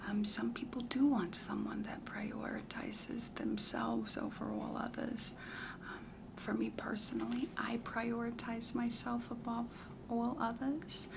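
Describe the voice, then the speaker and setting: A woman playing a self-assured, chatty matchmaker in a comedy ASMR roleplay, whispering softly in a deliberate, confiding, matter-of-fact way. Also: fairly high pitch, little pitch movement. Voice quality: breathy whisper with heavy creak. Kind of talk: soft-spoken whispered ASMR roleplay questionnaire.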